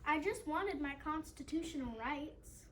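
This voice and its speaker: A woman plays a determined teenage schoolgirl in a readers theater play, high-voiced and earnest. She speaks plainly, a little far from the mic, with faint noise. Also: expressive, measured pace, a bit bright. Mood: defiant. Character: wronged, determined fifteen-year-old schoolgirl testifying and standing firm.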